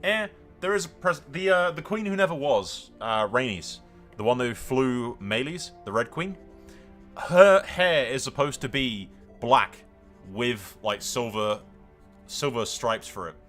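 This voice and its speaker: A man's voice: mid-range voice, lively intonation, unhurried, fairly bright tone, faint music behind. A man giving casual, rambling, irreverent commentary as he plays through a game, swearing freely. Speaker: gaming video host.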